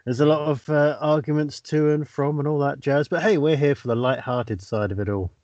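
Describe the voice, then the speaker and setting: A man hosts a casual comedy podcast, stumbling along with fillers and pauses. His voice comes through dull and lo-fi, dry and close. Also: a little high, a little mellow, no room sound. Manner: hesitant, lots of uhs. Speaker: podcast host.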